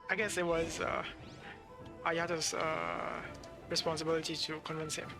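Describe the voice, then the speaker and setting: Higher-pitched, creaky male game streamer. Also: flat delivery, normal speed. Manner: grumbling, talks to himself. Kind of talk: casual live gameplay commentary. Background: game music.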